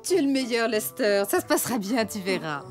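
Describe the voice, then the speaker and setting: A woman's voice: on the high side, big pitch swings, fairly quick. A woman voicing a cheerful, easily flustered mother elephant in a children's cartoon, reassuring and forgetful. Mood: reassuring, bustling. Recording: music behind the voice.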